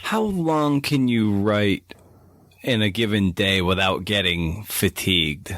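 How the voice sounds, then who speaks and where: A man's voice: fairly low pitch, takes it slowly, a bit bright. A man hosting a podcast, asking questions in a casual, informal and somewhat rambling way. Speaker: podcast host interviewing. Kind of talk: casual interview chat.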